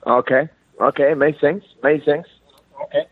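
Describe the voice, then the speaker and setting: A Cuban man with a thick Cuban Spanish accent calls in, pressing his point over and over in broken English. His fairly high voice comes through a dry, tinny phone line. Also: steady tone, a little slow, no room sound. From Cuba. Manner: insistent, repetitive, broken English. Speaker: fighter calling in. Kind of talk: phone interview.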